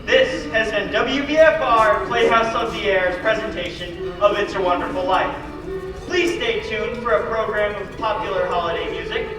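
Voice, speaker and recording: A man's voice: sits high, natural voice. A teenage male student acting in a 1940s-style radio play, delivering lines as townsfolk and announcer. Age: teens. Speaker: student theater performer. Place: live stage performance in a hall. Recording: echoey hall, distant mic, music behind.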